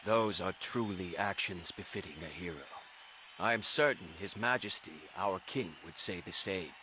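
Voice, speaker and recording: An actor playing a proud, chivalrous knight in a fantasy game, speaking in stately, formal phrases. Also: male voice, sits low, moderate pace, sharp tone, a little hiss or hum. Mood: solemn respect. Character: proud, chivalrous knight in armour, praising a hero.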